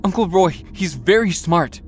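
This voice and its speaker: A man's voice: sits high, lively intonation, normal speed, faint music behind. A man playing an eager young man pleading with his uncles. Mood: urgent. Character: young man torn between uncles and friends.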